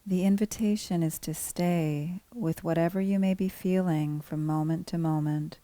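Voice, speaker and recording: A woman leads a guided meditation in a mellow, soft-edged voice. Her delivery is very slow and even, with calm, measured phrases and pauses between them. The sound is dry and close, free of room reflections. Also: lowish voice, dry recording. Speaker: meditation teacher guiding a body scan. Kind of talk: guided meditation, scripted instruction.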